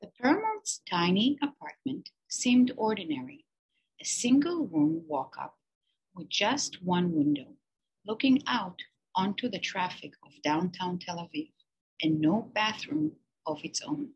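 A woman reads a children's picture book aloud in a slightly husky voice, taking her time. She tells the story expressively, leaning on dramatic pauses the way a teacher does for a class. Her pitch sits in a natural middle range. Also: sing-song, highs cut off.

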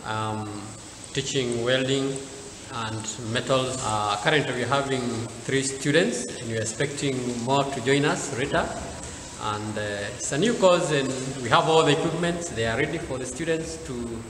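A man's voice: medium pitch, moderate pace, fairly bright tone, a little hiss or hum. A man, a welding teacher, speaking hesitantly and matter-of-factly about a new course. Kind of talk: interview statement. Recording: echoey hall, music behind.

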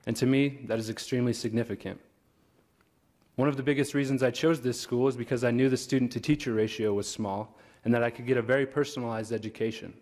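A man's voice: medium pitch, darkish tone. Young guy giving a graduation speech at a podium, sincere, bit of humor, big hall. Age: young adult. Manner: earnest, lightly joking. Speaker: graduating student speaker. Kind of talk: commencement speech. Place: large auditorium stage at a podium. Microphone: podium microphone.

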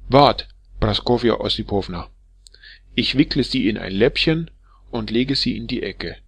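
A man's voice: lowish voice, unhurried, vocal fry, breathy edge, no room sound, exaggerated voice. A man doing a timid, bewildered old-world barber. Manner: mumbling, hesitant.

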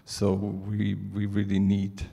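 Conference speaker: Danish man, middle-aged, mellow and gravelly, halting delivery into a handheld mic in a reverberant auditorium. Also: fairly low pitch, completely flat delivery. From Denmark. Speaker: artist presenting at a conference. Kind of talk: prepared stage presentation. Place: large indoor theatre stage. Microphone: handheld microphone.

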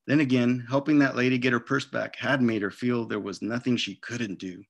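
A man's voice: mid-range voice, steady tone, moderate pace, gravelly, breathy edge, muffled recording. A middle-aged man, a children's author, reading expressively and chatting casually over a video call. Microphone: video call microphone.

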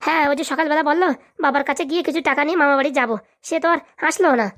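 A performer voicing an indignant, boastful young man in a comedy cartoon, excitable and swaggering, with a rural Bengali accent. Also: sits high, rapid-fire. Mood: polite. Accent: Bengali, rural dialect.

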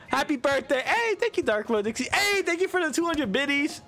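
A young woman in her twenties chats and cheers loudly while racing karts with friends, welcoming viewers as she goes. Her voice swings widely in pitch, with game music under it. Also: very animated, a little fast. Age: 20s. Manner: chatty, greets chat, cheers loudly. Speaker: co-player. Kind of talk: casual gaming chat.

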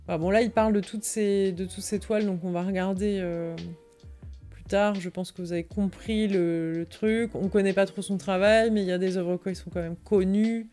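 Mellow-voiced woman live-translating on stream. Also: mid-range voice, fairly even intonation, normal speed. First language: French. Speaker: livestream co-host. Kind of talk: live translation and commentary of an encyclopedia article. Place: indoor room, livestream. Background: music.

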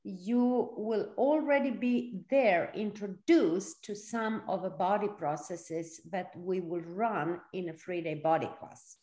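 A woman's voice: medium pitch, takes it slowly, hardly any pauses, warm tone. Middle-aged woman, echoey room. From born in Serbia, grew up in Sweden. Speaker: seminar facilitator. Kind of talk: conversational teaching talk for live interpretation. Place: indoor room, video call.

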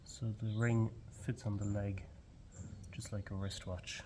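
Young Irish man, low register, calm field-guide delivery, shed ambience audible. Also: a little slow, background noise. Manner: calm, explanatory. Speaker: barn owl ringer. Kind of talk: explaining fieldwork on camera. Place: open farm shed outdoors.

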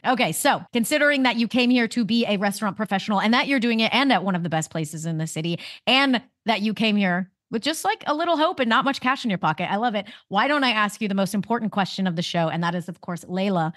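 A woman hosting a podcast, chatting with enthusiasm and lots of "like". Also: mid-range voice. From New York. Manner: enthusiastic, talks in bursts with many likes. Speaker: podcast host. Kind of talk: casual interview chat.

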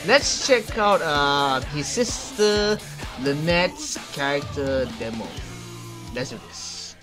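A young man hosts a casual reaction video from a small room at home. His delivery is animated in pitch but halting, with words often repeated while he searches for the next. Clear trailer music plays behind him. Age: young adult.

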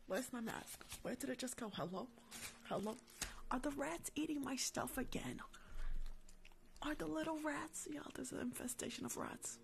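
A woman's voice: sits high, moderate pace, gravelly. A young woman whispers close to the microphone in an ASMR roleplay as a rude dental worker, sassy and chiding, often repeating short phrases. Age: young adult. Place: small room at home, indoors. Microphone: large tabletop microphone, very close.

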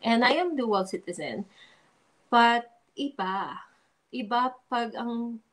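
A woman from the Philippines with a Filipino accent talks over a video call. Her voice sits in a middle pitch, and she speaks earnestly, often stopping to search for words. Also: fairly bright tone, low-quality recording. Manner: earnest, hesitant with frequent ums. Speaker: interview guest, pageant titleholder. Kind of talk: casual interview, mixing English and Tagalog.

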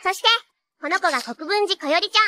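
A woman's voice: very high-pitched, animated, fast talker, pushed and bright, heavily acted. A woman playing a perky, cheeky teenage idol magical nurse in an anime, with cutesy catlike tics. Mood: bubbly, excited. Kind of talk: anime character acting and excited narration.

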